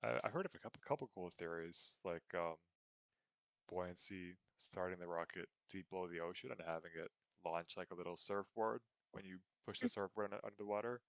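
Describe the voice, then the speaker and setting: A young man co-hosting a casual podcast, easygoing and quick to laugh. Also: sits low, smooth, no room sound. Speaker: podcast co-host. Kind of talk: casual chat.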